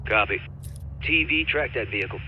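An actor playing a confident American mercenary commander, giving terse military orders in a game. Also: male voice, on the low side, expressive, moderate pace, lo-fi sound, slight background noise, close to an everyday voice. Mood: focused, commanding. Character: confident American male mercenary commander directing air support. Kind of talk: military game radio dialogue.

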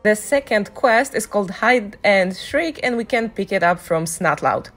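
A woman's voice: mid-range voice, animated, unhurried, fairly bright tone, faint music behind. A woman giving casual walkthrough commentary as a gaming guide, speaking in voice-over. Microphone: voice-over on a microphone, game music behind.

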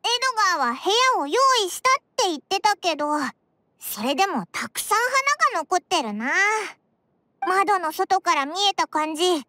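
Squeaky, bouncy little fairy companion. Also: female voice, animated, brisk, bright, forceful tone, dry recording, clean studio sound, exaggerated voice. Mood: curious, chatty. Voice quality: squeaky, childlike. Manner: boyish, blunt, exclamatory speech. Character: small childlike floating fairy companion, chirpy and blunt. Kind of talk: anime game dubbing.

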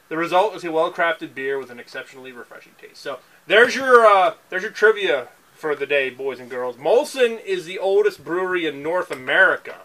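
Lively jokey man at a home bar. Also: a little high, low-quality recording. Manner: jokey, mock-reading foreign text with exaggerated voices. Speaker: beer review show co-host. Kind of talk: casual chat. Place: basement bar, indoors.

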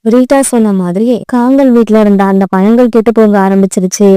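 A woman's voice: medium pitch, steady tone, brisk, dark tone, smooth, dry recording. A woman narrating a children's story from a script.

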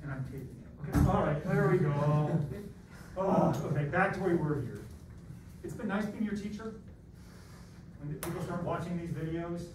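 A middle-aged American man lecturing as a college math teacher in an animated, rambling, self-deprecating and theatrical way. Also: a little high, normal speed, pauses often. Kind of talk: classroom lecture with rambling asides. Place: classroom, masked, in front of students. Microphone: far camera at back of room. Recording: roomy echoey classroom, distant mic, background noise.

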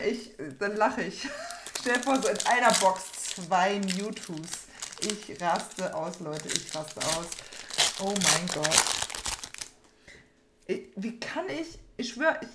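A woman's voice: medium pitch, measured pace. A young adult woman chatting casually and informally while opening card packs as a host, rambling and often repeating her phrases. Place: small room at home, indoors. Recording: roomy echo, background noise, card crinkling.

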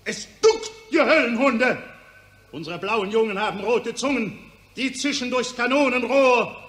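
A man's voice: very high-pitched, a little slow, fairly bright tone. A man playing a fanatical war poet who declaims bombastic patriotic verse in a 1940s radio drama. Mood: bombastic, rousing. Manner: declamatory, exclamatory. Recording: roomy, echoing 1940s radio recording.

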